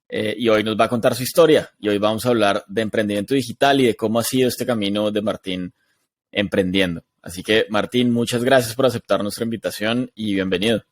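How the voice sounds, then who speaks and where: A man's voice: on the low side, dry recording. Upbeat male podcast host, dry sound. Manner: upbeat, encouraging interviewer. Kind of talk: scripted podcast intro and interview questions.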